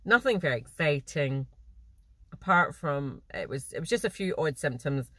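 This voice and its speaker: A nasal voice with a bit of a stuffy nose, rambling easily through a casual catch-up. The talk wanders, with false starts and small laughs, at a middling pitch. It is recorded in a parked car, close and dry with no room echo. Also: sing-song, moderate pace, a bit bright. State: slightly blocked nose. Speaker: cross-stitch vlogger. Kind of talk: casual chat to camera.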